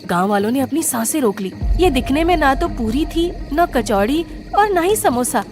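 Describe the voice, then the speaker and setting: Female storybook narrator, high voice, scripted delivery, music clearly underneath. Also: lively intonation, moderate pace.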